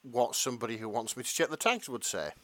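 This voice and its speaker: A man's voice: mid-range voice, lively intonation, fast talker, a bit bright, dry recording, clean studio sound. A young man co-hosting a podcast, reacting with sardonic jokes. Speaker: podcast co-host. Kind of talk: casual chat.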